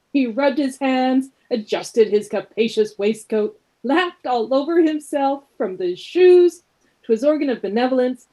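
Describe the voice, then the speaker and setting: A woman narrating a radio play as an expressive storyteller, reading scripted prose. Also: high voice, full character voice.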